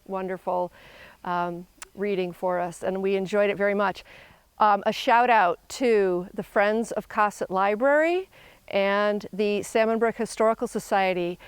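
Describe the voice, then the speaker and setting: Smooth, clear-voiced woman hosting. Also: mid-range voice, no echo. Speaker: host of a public library program. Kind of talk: closing thanks and announcements.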